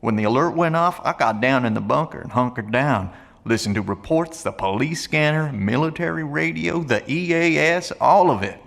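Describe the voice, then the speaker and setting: A man plays a folksy survivalist in an audio drama, blunt but friendly. His voice is creaky and gravelly, a little high for a man. It rings with long reverb, as in an empty hallway. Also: lively intonation, normal speed, a bit bright. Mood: folksy, matter-of-fact. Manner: folksy, colloquial, plain-spoken.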